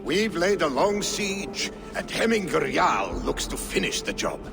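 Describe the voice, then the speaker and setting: An actor playing a loyal, jovial and proud Norse warrior in a video game, speaking in colorful, declamatory phrases. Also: male voice, fairly high pitch, big pitch swings, measured pace, creaky, exaggerated voice. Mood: friendly. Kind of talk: dramatic video game acting. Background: music.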